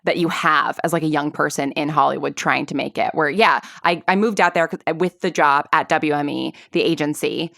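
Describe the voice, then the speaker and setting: A woman's voice: low voice, fairly even intonation, brisk, creaky, hardly any pauses, fairly bright tone, no echo, studio quality. A woman in her thirties chatting casually as a podcast guest, rambling through stories with lots of filler words like "like" and "sort of". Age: 30s. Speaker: podcast guest, audio drama creator and actor. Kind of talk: casual conversational storytelling.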